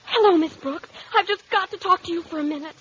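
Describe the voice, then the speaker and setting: A teenage girl, earnest and imploring. Her voice sits extremely high and sounds girlish. The old radio comedy recording is muffled, with faint noise. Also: fast, fairly bright tone. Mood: urgent pleading. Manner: pleading, earnest. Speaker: actress in a radio sitcom. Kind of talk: vintage radio comedy acting.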